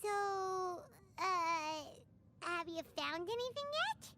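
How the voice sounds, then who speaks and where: Very high, squeaky excitable little fairy. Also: female voice, takes it slowly, slight background noise, heavily acted. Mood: curious. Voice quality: squeaky, childlike. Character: small excitable childlike fairy companion, bossy and curious. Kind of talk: video game voice acting.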